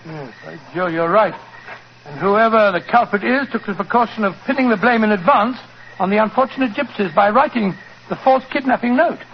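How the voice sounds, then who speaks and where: An actor playing a loyal, easily baffled British gentleman in a blustering 1940s radio drama style. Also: sits high, a little fast. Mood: earnest, puzzled. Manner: blustering, indignant. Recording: muffled, noisy 1940s radio recording.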